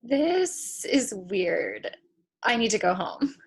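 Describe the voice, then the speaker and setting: A woman's voice: mid-range voice, big pitch swings, slow pace, husky, exaggerated voice. A woman playing a wary, blunt, indignant schoolgirl in a comic stage play reading. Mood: wary, puzzled. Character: skeptical, indignant schoolgirl meeting her future self. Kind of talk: stage comedy acting in a table read.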